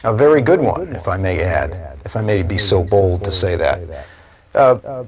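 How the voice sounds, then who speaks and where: A man's voice: sits low, lively intonation, moderate pace, a crowd in the background. A man interviewing someone casually in a studio. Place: equipment-packed studio. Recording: dull lo-fi tape, music behind. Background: music.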